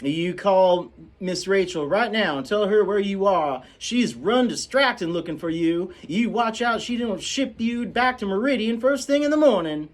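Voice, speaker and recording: Man doing Calpurnia, a shrill scolding housekeeper. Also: lively intonation, normal speed. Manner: indignant, scolding, high-pitched. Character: stern scolding middle-aged housekeeper woman.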